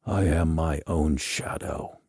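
A man's voice: very deep voice, steady tone, slow pace, a little mellow, husky, no room sound, clean studio sound, pitched below the performer's own voice, full character voice. A man voicing a tormented, lovesick man in an audiobook, hesitant and drowsy, in a plaintive voice. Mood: plaintive, resigned. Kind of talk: audiobook character dialogue.

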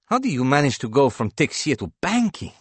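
A man's voice: medium pitch, very animated, brisk, husky, dry recording, pitched above the performer's own voice. A man voicing a passionate, blunt young woman painter in an audiobook, speaking with earnest emphasis. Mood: animated, intense.

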